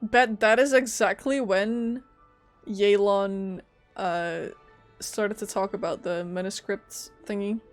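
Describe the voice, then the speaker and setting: A woman's voice: mid-range voice, steady tone, slow, faint music behind. A woman streamer giving casual gameplay commentary, teasingly talking back to the characters. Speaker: gaming streamer.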